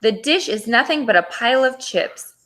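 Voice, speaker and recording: Lady doing a storybook tomcat, theatrical and excitable, voice pitched up. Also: normal speed. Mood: despairing. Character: excitable male cat, dramatic storybook fiddler.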